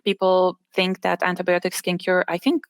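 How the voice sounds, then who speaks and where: Bulgarian-born woman talking as a patient guest, low flat voice, pauses a lot, dry sound. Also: clean tone, dry recording. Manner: hesitant, many fillers and restarts. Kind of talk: online panel interview.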